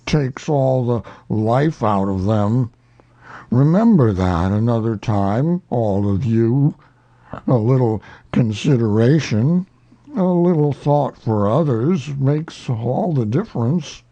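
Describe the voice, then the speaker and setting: A man voicing a gloomy, grumbling old donkey in a children's storybook, with a slow drawl. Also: mid-range voice, animated, warm tone, dull audio, voice pushed up. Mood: reproachful grumbling.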